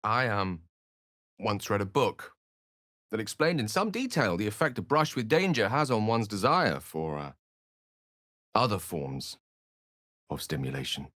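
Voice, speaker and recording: A wry bookish british wizard for a fantasy game, lowish voice, swings around a lot, very articulate, dry studio sound. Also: male voice, very animated, a little slow, long pauses, a bit bright, no echo. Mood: tender, hesitant. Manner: articulate, eloquent, theatrical. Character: erudite, wry, well-spoken male wizard with a melancholy streak. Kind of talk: theatrical fantasy video game voice acting.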